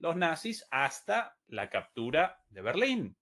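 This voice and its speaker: A man's voice: fairly high pitch, very animated, normal speed, a bit bright. A man hosting live political commentary on a broadcast. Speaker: political show host.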